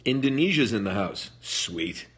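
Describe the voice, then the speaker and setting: A middle-aged man hosts a live fan Q&A from home and chats loosely as he reads out comments. His voice sits low and sounds husky. He jokes easily and answers quickly. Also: expressive, fairly bright tone. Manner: chatty, jokey, reads comments aloud and answers. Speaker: livestream host answering fan questions. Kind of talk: casual live Q&A chat. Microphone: phone livestream.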